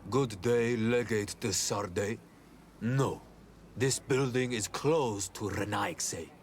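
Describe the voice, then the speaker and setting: Slow, low-voiced stern gatekeeper. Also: male voice, slight background noise. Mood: firm. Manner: slow, formal, unyielding. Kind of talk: video game cutscene acting.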